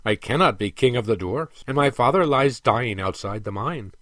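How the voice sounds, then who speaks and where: Man playing a gloomy, proud dwarf prince, animated. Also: mid-range voice, moderate pace, dry recording, studio quality, natural voice. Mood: despairing, defiant. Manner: gloomy, blunt, questioning. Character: despairing, proud young male dwarf prince.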